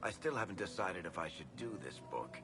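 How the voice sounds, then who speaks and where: An actor playing a wry, laid-back male adventurer and storyteller in a video game, conversational and gravelly. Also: low-pitched, sing-song, a little fast. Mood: wry, musing. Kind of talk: video game character dialogue. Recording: music under the voice.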